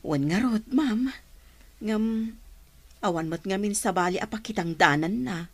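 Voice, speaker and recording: Woman acting as poor village laundrywoman, patient but aggrieved mother, Ilocano accent, medium-pitched voice, audio drama. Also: a little slow. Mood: deferential.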